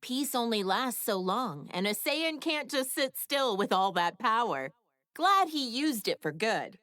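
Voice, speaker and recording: A woman voicing a brainy, candid woman inventor in an animated video game, wry and opinionated. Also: a little high, a little slow, no room sound, studio quality. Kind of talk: animated game character dialogue.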